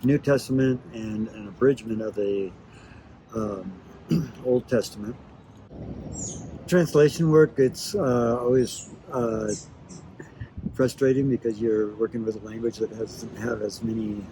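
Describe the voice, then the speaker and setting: Missionary man, deeper register, halting casual interview delivery, noticeable insect and vehicle ambience. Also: steady tone, dark tone, slight background noise, rough recording. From Ecuador. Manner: halting, searching for words. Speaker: missionary and Bible translator. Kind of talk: casual promo video interview.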